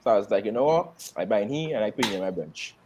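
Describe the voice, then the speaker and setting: A man's voice: fairly low pitch, animated, quick pace, background noise. A man co-hosting a fantasy football podcast, laughing at himself and repeating his words as he talks. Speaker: podcast co-host. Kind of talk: casual chat about fantasy football.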